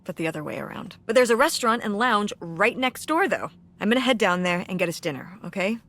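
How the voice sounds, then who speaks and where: A woman's voice: medium pitch, animated, fast, a bit bright. An actress voicing a tired, wry middle-aged mother in a video game, talking in a dry, reasoning way.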